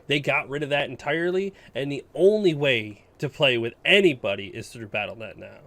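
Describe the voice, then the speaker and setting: A man's voice: medium pitch, a little fast, fairly bright tone. A man chatting casually as a podcast co-host, blunt and given to mild swearing. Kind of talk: casual gaming chat.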